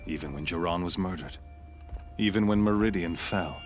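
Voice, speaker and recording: Man playing a very deep, menacing zealot warrior. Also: over music, close to an everyday voice. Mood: proud, brooding. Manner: grandiose, measured, ominous oratory. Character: menacing zealot warrior, fanatical champion of a sun cult. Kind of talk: dramatic video game acting.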